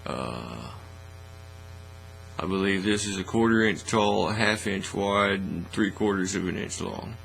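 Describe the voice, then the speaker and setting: A middle-aged man giving a casual, folksy, unhurried how-to demonstration at an indoor hobby bench. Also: deep voice, creaky, a bit bright, poor audio. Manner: folksy, unhurried step-by-step talk. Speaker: hobby craft tutorial host. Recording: faint mains hum and noise.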